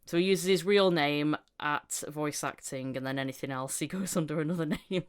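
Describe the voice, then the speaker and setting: Smooth-voiced woman chatting as podcast host. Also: low-pitched, moderate pace. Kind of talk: casual interview chat.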